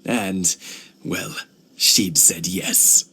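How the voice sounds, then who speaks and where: Deep-voiced, mild old husband. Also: male voice, fairly even intonation, creaky, bright, forceful tone, a little hiss or hum, full character voice. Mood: fond, reminiscing. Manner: measured, hesitant, reminiscing. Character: mild, reflective elderly husband and father. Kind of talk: anime video game voice acting.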